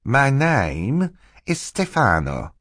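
A man's voice: mid-range voice, wildly swooping pitch, slow pace, a bit bright, no room sound, studio quality, pitched above the performer's own voice, heavily acted. A man voicing a slippery, two-faced storybook villain. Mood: feigned sorrow. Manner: hissing menace switching to fluttery, falsely apologetic politeness. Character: scheming villain posing as meek, sorrowful assistant. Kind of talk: audiobook character acting.

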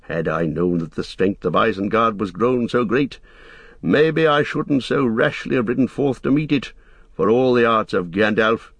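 A man's voice: lowish voice, lively intonation, normal speed, husky, no room sound. A man as a grave, defiant old king. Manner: grave, stately, resolute. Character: proud old male king, defiant and weary.

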